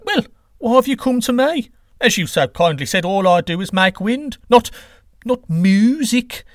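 An indignant, easily riled god of the wind, played by a male storyteller in a comic myth. His voice sits very high and leaps about in flustered exclamation, recorded close and dry. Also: sing-song, unhurried, no echo, full character voice. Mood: puzzled.

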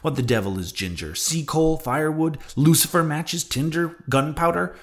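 A man's voice: mid-range voice, expressive, moderate pace, lightly acted. A man voicing an indignant, sarcastic whaling ship's mate in a sea-novel audiobook, emphatic and full of mocking questions. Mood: mocking outrage.

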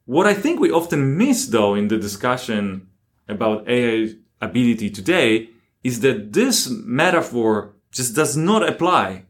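A man's voice: medium pitch, very animated, measured pace. Man explaining ideas as an interview guest. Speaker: interview guest, academic researcher.

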